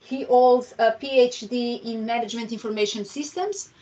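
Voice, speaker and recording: A woman hosting an online meetup, introducing a guest speaker in a friendly, halting way with many fillers and restarts, speaking through a headset on a video call. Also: a little high, fairly even intonation, warm tone. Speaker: meetup host. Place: home room, video call. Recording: distant, dull video call audio.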